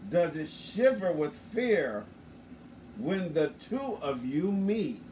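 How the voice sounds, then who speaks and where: A man reading a children's picture book aloud in a lively, sing-song way, taking turns with the host. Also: fairly high pitch, slow pace. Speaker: co-reader. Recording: echoey room, far from the mic, faint noise.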